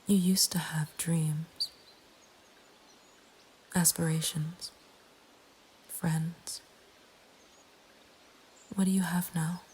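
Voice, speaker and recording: A woman narrating a scripted horror story in a breathy ASMR whisper, with a hushed, deliberate delivery. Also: low-pitched, level tone. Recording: faint ambient background noise. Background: soft nature ambience.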